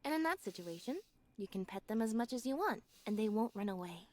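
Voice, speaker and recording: A woman voicing a reserved, composed young video game character in a measured, understated way. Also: medium pitch, expressive, darkish tone, close to an everyday voice. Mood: calm, matter-of-fact. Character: reserved young woman, composed stage magician's sister, softly aloof.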